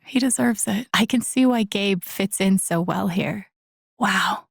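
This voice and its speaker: A woman playing a wry, wounded, introspective young game heroine, speaking soft-spoken inner monologue in a naturalistic, breathy, slightly creaky voice. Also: medium pitch, a little slow, no room sound, studio quality. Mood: dry, wry.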